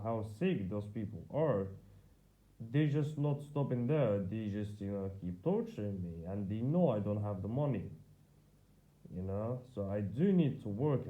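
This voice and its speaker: A tired man whose first language is Arabic, talking in a halting, repetitive way full of fillers and restarts, with bursts of swearing as he records a personal video diary. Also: on the low side, animated, narrow, tinny audio. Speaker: vlogger.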